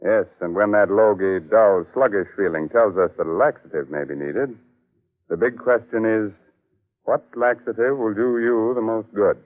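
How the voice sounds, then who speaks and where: A man playing a sly, theatrical host of an old-time radio horror show, speaking deliberately. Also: lowish voice, lightly acted. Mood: sly, teasing. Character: sardonic male horror host, teasing and macabre. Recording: narrow old radio recording, faint music.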